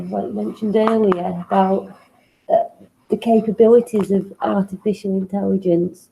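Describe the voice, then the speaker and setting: A woman co-hosting a casual talk show, sniffly and congested from a cold, speaking thoughtfully with pauses and fillers. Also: medium pitch, vocal fry, mellow, a little hiss or hum, poor audio. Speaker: co-host.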